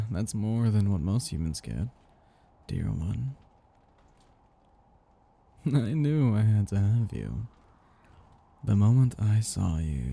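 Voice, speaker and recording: Casting: male actor as ancient vampire master for ASMR roleplay, very dark and breathy, gravelly edge, possessive, teasing. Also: fairly low pitch, exaggerated voice. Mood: brooding, possessive. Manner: languid, teasing, condescending endearments, soft chuckles. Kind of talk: intimate ASMR roleplay monologue.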